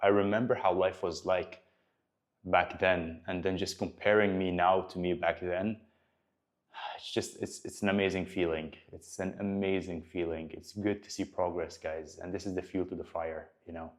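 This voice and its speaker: Young man, very deep voice, earnest motivational talk to camera, personal trainer, fillers and restarts. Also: fairly even intonation, normal speed. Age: 20s. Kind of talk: talking to camera about his goals.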